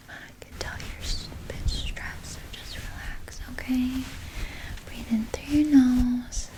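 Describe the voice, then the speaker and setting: A woman playing a sinister, soothing vampire nurse in an ASMR roleplay, speaking in a soft, breathy whisper with coaxing, falsely reassuring words. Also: a little high, rough recording. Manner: slow, coaxing, falsely reassuring, close personal address. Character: sinister, soothing female vampire nurse tending her captive patient.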